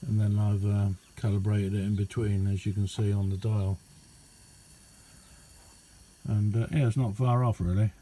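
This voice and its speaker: A man's voice: lowish voice, flat delivery, moderate pace, long pauses, mellow, a little hiss or hum. A middle-aged man, chatting casually in a rambling, understated, self-deprecating way as he shows a hobby project. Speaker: amateur radio hobbyist showing his kit. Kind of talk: casual hands-on video chat. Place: small indoor radio room.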